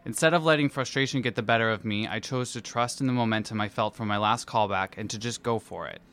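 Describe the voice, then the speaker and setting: A man's voice: fairly low pitch, quick pace, a bit bright. A man reading his personal essay aloud in a theatrical, playful, self-deprecating manner. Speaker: musical theatre performer and dance captain.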